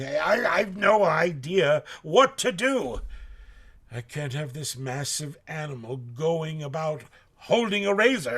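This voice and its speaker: A man playing a nervous, rough but honest sailor in a radio drama, stammering and deferential. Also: fairly high pitch, fairly bright tone, exaggerated voice. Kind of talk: live radio drama acting.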